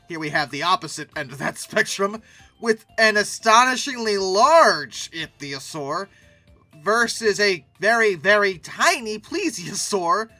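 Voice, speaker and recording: A man's voice: high voice, bright, forceful tone, dry recording. A man hosting casual, humorous commentary, speaking emphatically and repeating words for effect. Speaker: host of a paleoart commentary channel.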